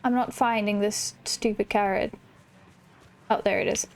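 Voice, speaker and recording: A woman's voice: mid-range voice, fairly even intonation, unhurried, soft background music. A woman hosting a gaming channel, commenting casually on play in a reflective, empathetic way. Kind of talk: casual game commentary, reading dialogue aloud.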